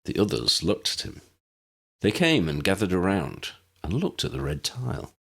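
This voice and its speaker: Audiobook narrator: man, creaky low voice, reading scripted fiction with lively inflection. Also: moderate pace.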